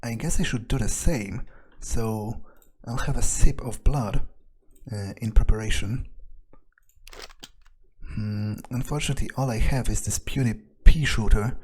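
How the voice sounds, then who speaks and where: A man with a slightly husky voice, narrating a game playthrough in character as a wary vampire, muttering his thoughts hesitantly. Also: on the low side, unhurried, a little mellow. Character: vampire agent, profane and wary, thinking aloud. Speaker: let's player speaking in character.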